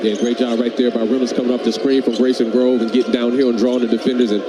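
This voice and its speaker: A man gives conversational color analysis during a live basketball game. He talks very quickly at an average male pitch, affirming and explaining as he goes. The arena is clearly audible as noise behind him. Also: little pitch movement.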